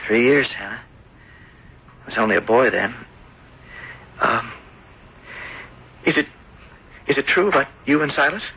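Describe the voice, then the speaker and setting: An actor playing an earnest, lovesick young seafarer back home, speaking haltingly in radio drama style. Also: male voice, mid-range voice, expressive, creaky, sharp tone, breathy edge, exaggerated voice. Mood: tender, hesitant. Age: young adult. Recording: dull, noisy old radio broadcast.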